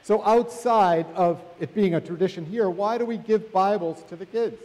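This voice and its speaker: High, mellow man in a reverberant church. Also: moderate pace. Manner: playful, teasing quiz-giver. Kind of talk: addressing a church congregation. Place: church sanctuary.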